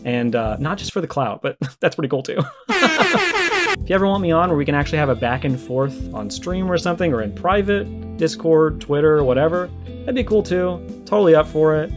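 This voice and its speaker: A man giving conversational response commentary, arguing emphatically and repeating his points for stress. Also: a little high. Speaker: video creator and host. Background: music with plucked guitar.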